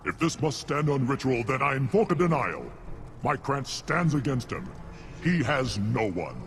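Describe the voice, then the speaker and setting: An actor playing a proud, hostile alien warrior in a video game, with a gravelly voice and a haughty, declamatory manner. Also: male voice, mid-range voice, big pitch swings, normal speed, big-room sound, slight background noise. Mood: defiant. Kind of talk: video game cutscene acting.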